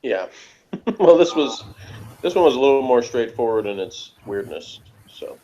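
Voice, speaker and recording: A man's voice: mid-range voice, lively intonation, normal speed, dark tone, highs cut off, a little hiss or hum. An American man chatting casually and jokingly as he co-hosts a review, in a wry manner. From United States. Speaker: co-host of a TV review show. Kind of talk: casual jokey review chat.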